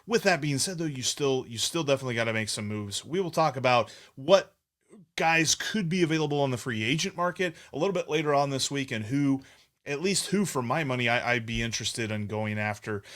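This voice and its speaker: A man of middle pitch hosts a casual sports podcast. He talks in an opinionated, conversational way, often restarting his sentences. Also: fairly bright tone. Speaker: podcast host.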